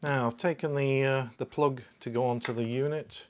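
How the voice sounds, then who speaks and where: A man's voice: mid-range voice, steady tone, normal speed, darkish tone, highs cut off. A man presenting a product in a casual, informal way, explaining things step by step as he demonstrates. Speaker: product review host. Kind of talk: casual unboxing and fitting demonstration.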